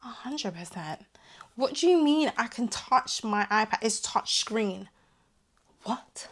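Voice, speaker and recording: This is a young woman, talking to camera in a loose, chatty way. She is animated and wanders off into little asides. Her voice is worn and a touch hoarse. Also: a little high, slow. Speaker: vlogger reviewing her tech purchases. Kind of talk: casual chat to camera.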